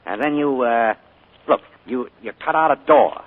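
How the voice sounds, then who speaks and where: An actor in 1940s radio acting, playing a charming, secretive uncle who turns sharp when crossed, on a tinny old broadcast. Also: male voice, mid-range voice, normal speed, lightly acted. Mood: genial. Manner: genial, then sharp and forceful when crossed. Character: smooth, secretive male uncle with a menacing edge.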